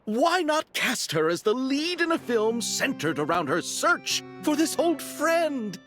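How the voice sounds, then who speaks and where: A man's voice: very high voice, big pitch swings, measured pace, fairly bright tone, full character voice. A man voicing a flamboyant, dreamy film producer in a game, speaking in theatrical, florid phrases. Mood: effusive. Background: music.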